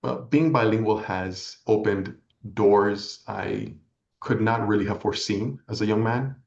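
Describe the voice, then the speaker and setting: A man from California whose first language is Spanish, giving earnest, measured, reflective answers as an interview guest. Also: on the low side, creaky, darkish tone. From California, United States. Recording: echoey room, slightly dull audio.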